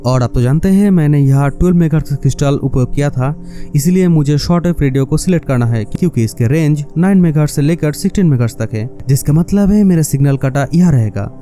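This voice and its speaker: A man's voice: fairly high pitch, expressive, fast, warm tone, soft background music. A man narrating a scripted electronics tutorial, explaining step by step, speaking directly to viewers and mixing in English phrases. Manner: explanatory, addresses viewers directly with rhetorical questions; mixes English words and phrases into speech. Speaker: host of a DIY electronics tutorial.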